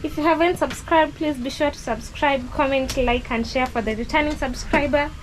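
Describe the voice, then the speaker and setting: A woman's voice: sits high, fairly even intonation, normal speed, slight background noise, poor audio. A Kenyan woman giving casual vlog narration while filming a family shop kitchen outdoors, explaining things with plenty of "you know" fillers. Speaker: vlogger filming a relative's shop.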